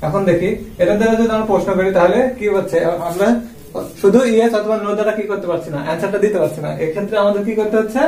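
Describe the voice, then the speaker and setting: A man's voice: sits high, fairly even intonation, very fast, darkish tone. A man giving a classroom-style explanation as a teacher, posing questions and answering them himself. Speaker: English grammar teacher. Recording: roomy echo, distant microphone.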